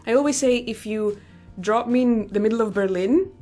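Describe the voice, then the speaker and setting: Young woman, from Greece, fairly high voice, modest, chatty, casual interview guest, faint music behind. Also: moderate pace, warm tone. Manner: laughs easily, modest and chatty. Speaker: guest, Greek teacher.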